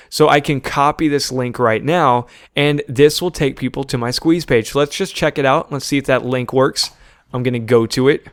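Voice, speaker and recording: Clear-voiced man giving a casual tutorial. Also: mid-range voice, dry recording, studio quality. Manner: informal step-by-step instructing, often addressing viewers as "you guys". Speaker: tutorial presenter. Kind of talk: casual screen-share tutorial narration.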